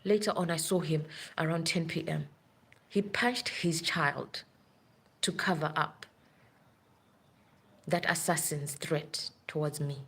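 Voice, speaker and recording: A woman's voice: sits low, fairly even intonation, takes it slowly, creaky, long pauses, a bit bright, breathy edge. A woman who works as a nurse, telling a long story in a rambling, urgent way on a live stream. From Africa.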